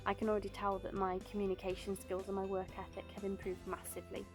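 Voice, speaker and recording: Woman, mid pitch, clear upbeat testimonial read over soft music. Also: monotone, quick pace. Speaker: bird of prey centre apprentice. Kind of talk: prepared testimonial.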